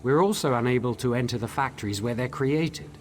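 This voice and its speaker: An actor playing an eager, articulate tinkerer-scholar in a fantasy video game, speaking theatrically. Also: male voice, medium pitch, a little fast, natural voice. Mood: musing. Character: eager male tinkerer-scholar, enthusiastic about his research.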